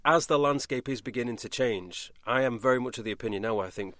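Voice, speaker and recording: A man's voice: mid-range voice, quick pace, a bit bright, no echo, studio quality. A man co-hosting a podcast, talking informally and giving his opinions in an encouraging way. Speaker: podcast co-host, voice actor. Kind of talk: casual podcast chat.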